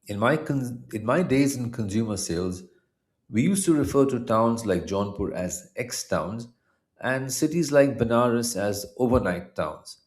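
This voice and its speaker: A middle-aged man from India with an Indian accent and Punjabi as his mother tongue, narrating a scripted personal essay in measured, formal phrasing. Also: mid-range voice, lively intonation.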